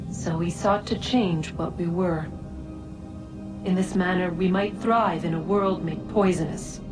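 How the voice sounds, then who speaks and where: An actress voicing an ancient female being in a video game, grieving and reflective, speaking in a measured, solemn, deliberate way. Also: on the low side, distant mic. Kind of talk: solemn scripted game narration.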